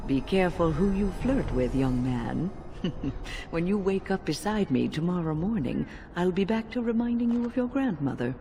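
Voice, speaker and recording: A shrewd old female companion from a fantasy game, with a strongly creaky voice. She speaks with measured composure and dry, teasing wit. There is faint noise in the background. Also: fairly low pitch, lively intonation, mellow. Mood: dryly teasing. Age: older.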